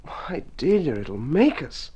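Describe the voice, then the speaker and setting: An Australian actor playing an idealistic young painter husband in a mid-century radio drama, tender and assured. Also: male voice, fairly high pitch, big pitch swings, slow, warm tone, breathy edge, heavily acted.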